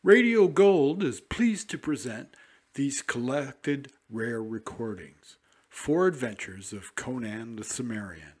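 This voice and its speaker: A man hosting a classic radio show in a relaxed, informal way. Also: mid-range voice, slow pace, breathy edge. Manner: relaxed and informal, with small fillers. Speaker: podcast host.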